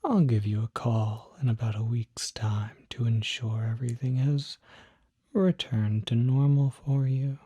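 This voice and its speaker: Someone acting a whispering, unhurried demon doctor. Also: medium pitch, fairly even intonation, dark tone. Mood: gentle, courteous. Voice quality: breathy, whispery. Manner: formal, unhurried, precise diction. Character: polite, soft-spoken demon doctor treating curses. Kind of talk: whispered ASMR roleplay.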